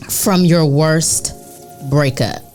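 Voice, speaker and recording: A woman's voice: sits low, very slow, darkish tone. An American woman hosting a casual group chat and posing questions to her guests. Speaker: podcast host. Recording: music under the voice.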